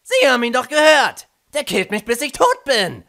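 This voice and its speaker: A man's voice: very high voice, huge pitch swings, moderate pace, gravelly, pushed and bright, exaggerated voice. A man voicing a nervous, whiny young male hedgehog in a cartoon fandub, flustered and dramatic. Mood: resigned. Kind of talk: German fandub cartoon acting.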